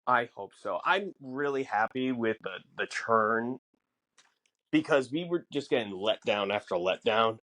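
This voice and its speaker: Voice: medium pitch, expressive, measured pace, fairly bright tone. A podcast co-host in casual fan discussion, musing and posing questions aloud.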